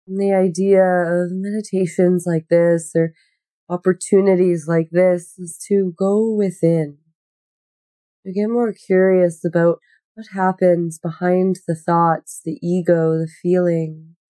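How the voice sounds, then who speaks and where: Slow, mellow woman guiding meditation. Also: fairly low pitch, flat delivery, no echo. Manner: gentle, unhurried, soothing instruction with long pauses. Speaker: yoga nidra meditation guide. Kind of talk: guided meditation.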